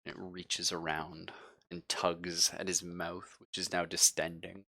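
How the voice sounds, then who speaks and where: A man running a horror tabletop game as game master, narrating in deliberate, vivid detail and chatting casually with players. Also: deep voice, level tone, pushed and bright, no room sound. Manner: slow, deliberate, vivid descriptive storytelling with pauses.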